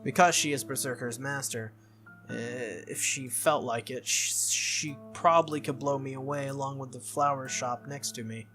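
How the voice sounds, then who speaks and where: Playthrough host, bright mid-pitched voice, expressive visual-novel reading, casual asides, music underneath. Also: normal speed. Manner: expressive, reacts aloud while reading. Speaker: video game playthrough host. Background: game music.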